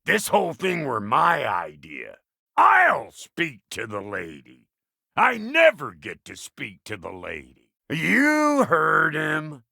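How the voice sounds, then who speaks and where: A crusty video game dwarf blacksmith with a heavily gravelled, sharp-edged voice. He is cantankerous and cocky, slinging folksy insults, and his pitch swings wildly from low grumble to high bark. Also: huge pitch swings, slow pace, no room sound, clean studio sound, heavily acted. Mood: irritable, boastful. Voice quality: gravelly, raspy. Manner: folksy rustic slang, colorful insults, swaggering. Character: gruff, foul-mouthed, cantankerous dwarf blacksmith with folksy swagger. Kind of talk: video game character acting.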